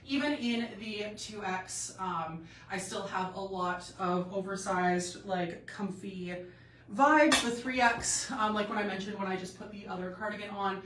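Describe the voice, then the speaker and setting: A woman's voice: medium pitch, a bit bright, slight background noise. A young woman with a cold, sounding stuffed up and a bit nasal, chatting loosely with many fillers as she hosts a clothing try-on live stream from home. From Canada. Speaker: boutique live-stream host. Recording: echoey room, mic at a distance.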